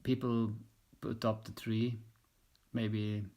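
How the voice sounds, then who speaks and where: A middle-aged Norwegian man, a native Norwegian speaker, talks in a relaxed podcast chat. His voice sits somewhat low and carries a strong gravelly fry. He tends to drop in short, dry remarks. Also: slow. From Norway. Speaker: podcast co-host. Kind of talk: casual chat while knitting.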